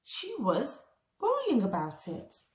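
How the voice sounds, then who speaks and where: In an echoey room, a woman reads a picture book aloud for young children. Her pitch swings expressively, and she takes her time, with deliberate dramatic pauses. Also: wildly swooping pitch, a little mellow. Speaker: storybook reader.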